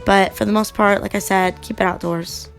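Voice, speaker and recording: A young woman with a sore, raspy throat giving a chatty how-to voiceover about skate parts. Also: mid-range voice, normal speed, faint music behind. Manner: chatty, relaxed, with many fillers. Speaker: skating vlogger. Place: home at night.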